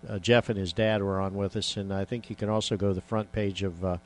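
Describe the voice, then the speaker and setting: A man's voice: on the low side, little pitch movement, quick pace. A man hosting a casual radio talk show, rambling with many fillers and restarts.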